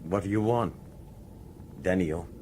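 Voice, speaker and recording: An actor playing a cornered, anxious police commissioner in a radio drama, husky, strained, nervous and halting. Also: male voice, deep voice, dark tone, a little hiss or hum. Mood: subdued, puzzled.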